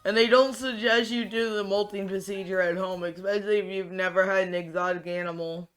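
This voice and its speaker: A streamer chatting casually while playing a game, with a raspy, monotone voice that sounds tired and low-key, rambling and often restarting sentences. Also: fairly quick, soft background music.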